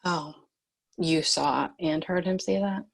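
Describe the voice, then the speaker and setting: A woman playing a guarded but caring leader in a screenplay table read, measured and matter-of-fact. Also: lowish voice, gravelly, exaggerated voice. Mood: reassuring.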